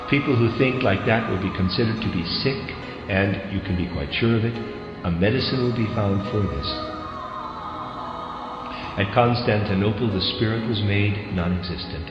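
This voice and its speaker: Echoey man solemnly reading, music behind. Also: on the low side. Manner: deliberate, spells out quotation marks. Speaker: reader of a lecture text. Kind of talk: solemn read-aloud lecture. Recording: dull, echoey hall reverb, music behind.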